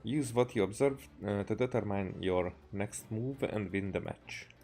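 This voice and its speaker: A man doing casual gameplay commentary and reading game text aloud haltingly, with game music behind him. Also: lowish voice, dark tone. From Hungary. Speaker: game streamer.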